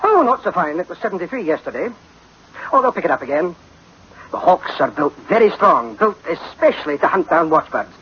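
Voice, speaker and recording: An actor playing a talkative, defensive engineer in a 1960s radio drama, with a husky voice. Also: male voice, fairly high pitch, sing-song, brisk. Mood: eager, boastful. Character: talkative male engineer, defensive about his hunting machines. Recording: muffled old radio recording.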